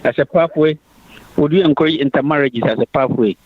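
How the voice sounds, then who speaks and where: A man's voice: a little high, brisk, a crowd in the background. A man hosting a radio panel discussion, pressing his guest with challenging questions. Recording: dry tinny phone-like radio sound.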